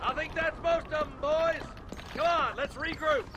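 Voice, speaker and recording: High-pitched, commanding lawman. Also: moderate pace, muffled recording. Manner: barking orders. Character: commanding, hot-tempered frontier lawman. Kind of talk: video game voice acting. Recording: clear battle and horse noise.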